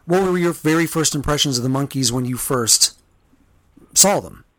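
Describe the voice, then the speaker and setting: A man with a medium-pitched voice, recorded dry and close. He speaks as a podcast host in a casual interview, chatty and affirming as he puts questions and comments to a guest. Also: lively intonation, normal speed, fairly bright tone, dry recording, rough recording.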